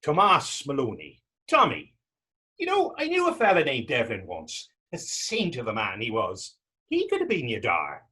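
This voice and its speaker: Man acting husky, teasing young Irish drifter. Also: medium pitch, huge pitch swings, normal speed, sharp tone, full character voice. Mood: curious banter. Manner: teasing, lilting folksy phrasing. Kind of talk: stage readers theater dialogue.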